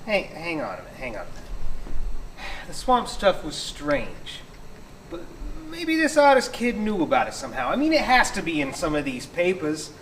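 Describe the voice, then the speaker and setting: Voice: mid-range voice, huge pitch swings, moderate pace, fairly bright tone, slight background noise, low-quality recording. An actor playing a brash, skeptical police sergeant in a period stage drama, blunt, impatient and scoffing. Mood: skeptical, urging. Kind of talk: radio-style stage drama.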